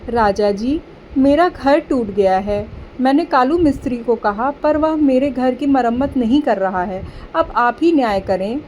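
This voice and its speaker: A woman voicing a small, caring mother bird in an animated story, earnest and plaintive, with emphatic pleading. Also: high voice, unhurried, a little hiss or hum, rough recording. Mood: complaining.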